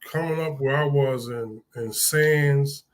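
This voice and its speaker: A man in his sixties from Hartford, Connecticut, telling stories as an interview guest in a relaxed, rambling way with many restarts. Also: medium pitch, slow pace. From Hartford, Connecticut, USA. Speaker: guest, former football player. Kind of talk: casual interview storytelling.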